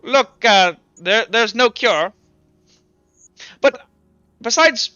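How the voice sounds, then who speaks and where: Man putting on a pompous, high-pitched older doctor. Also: drawn-out words, pushed and bright, no room sound, lo-fi sound, exaggerated voice. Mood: flustered, condescending. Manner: pompous, patronizing, addresses the young as dear boy. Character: brisk older male doctor, dismissive, falsely soothing.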